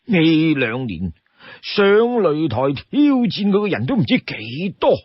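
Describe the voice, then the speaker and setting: A man voicing a talkative old townsman in Cantonese, sighing and exclaiming in awe with drawn-out emphasis. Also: fairly high pitch, wildly swooping pitch, slightly rough, dry recording, highs cut off, heavily acted. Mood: awed, excited. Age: older. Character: talkative old male townsman, awed and gloomy storyteller. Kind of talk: dramatized Cantonese storytelling.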